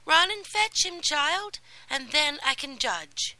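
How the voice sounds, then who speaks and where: A woman voicing a kind fairy godmother in a storybook reading, brisk and commanding. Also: high voice, animated, no echo, voice pushed up, full character voice, poor audio. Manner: bright, commanding, encouraging.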